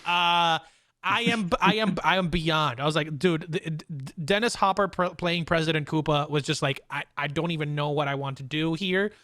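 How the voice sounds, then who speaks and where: Bright-voiced, excitable male podcast host. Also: fairly high pitch, moderate pace, no room sound, clean studio sound. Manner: excitable, repeats phrases for emphasis. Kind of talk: casual podcast chat.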